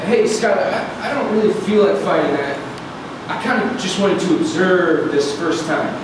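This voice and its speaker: A man's voice: high voice, normal speed, distant mic, lightly acted. Guy acting hesitant mild teen boy who trips over words, very echoey noisy room. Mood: reluctant. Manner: hesitant, stammering. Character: hesitant, mild-mannered seventeen-year-old boy. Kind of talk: stage script reading. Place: live public reading in a large room.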